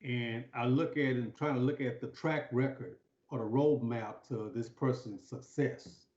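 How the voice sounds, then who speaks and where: A man's voice: medium pitch, moderate pace, a little mellow. A man hosting an informal interview, often repeating words as he asks his questions. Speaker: interview host. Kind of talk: conversational interviewing. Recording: dull, echoey room livestream audio.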